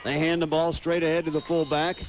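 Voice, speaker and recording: A man's voice: fairly high pitch, level tone, brisk, a little hiss or hum. An American man doing live radio play-by-play commentary of a football game.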